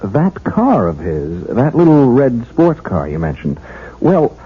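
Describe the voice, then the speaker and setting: An earnest young patrolman in an old radio drama, folksy and halting. His husky voice moves around a lot in pitch, and the broadcast is thin and phone-like. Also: huge pitch swings, heavily acted. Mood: earnest questioning. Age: young adult. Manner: hesitant, stammering, folksy. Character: earnest, bashful young patrolman sweet on a troubled woman. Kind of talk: 1970s radio drama acting.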